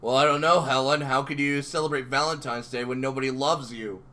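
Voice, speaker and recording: A man chatting casually as an interviewer, with an excitable, exclamatory way of talking. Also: mid-range voice, a little fast. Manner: excitable, exclamatory, swearing emphatically. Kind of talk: casual fan interview chat.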